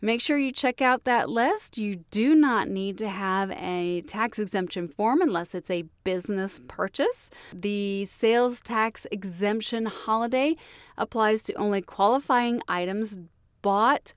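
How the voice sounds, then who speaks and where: A woman reading a public service announcement, clear and deliberate. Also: medium pitch, dry recording, clean studio sound.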